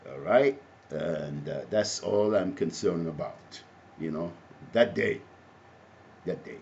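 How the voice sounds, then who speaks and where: A man from Egypt with an Egyptian Arabic accent, speaking off the cuff in a halting, repetitive, rambling monologue. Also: deep voice, creaky, a little mellow. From Alexandria, Egypt. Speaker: activist, self-described advocate. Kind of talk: impromptu spoken monologue to camera. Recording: muffled audio with faint background noise.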